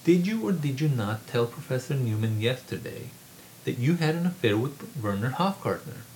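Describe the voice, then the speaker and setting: A man voicing a calm, firm male professor who questions a student in a reading of his novel. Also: mid-range voice, a little hiss or hum, voice pushed up, lightly acted, poor audio. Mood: firm coaxing. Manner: measured, firm, coaxing.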